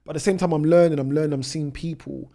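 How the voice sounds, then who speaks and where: A man's voice: a little high, fairly even intonation, quick pace, creaky, warm tone. A British man in his thirties telling his own story conversationally as a podcast guest, with frequent "you know" asides. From United Kingdom. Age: 30s. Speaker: travel vlogger, podcast guest.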